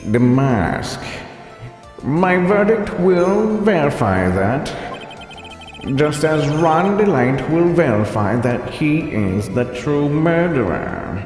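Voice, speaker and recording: Guy doing a smug pompous detective game character, really slow, swoopy pitch. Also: sing-song, darkish tone, heavy reverb, long reverb tail, faint music behind, full character voice. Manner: pompous, drawn-out delivery. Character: smug, theatrical self-proclaimed ace detective. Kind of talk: video game voice-over, read live.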